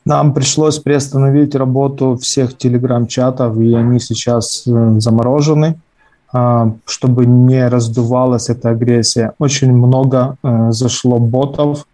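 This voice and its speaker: A man from Ukraine speaking as an interview guest, halting with many pauses. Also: mid-range voice, flat delivery, mellow. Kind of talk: interview answers.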